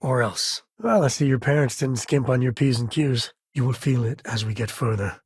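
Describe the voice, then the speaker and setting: A man's voice: mid-range voice, normal speed, slightly rough, dry recording, studio quality. An actor playing an easygoing, wry, middle-aged spacefaring adventurer and father in a video game, talking in a folksy, colloquial way. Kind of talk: video game character dialogue.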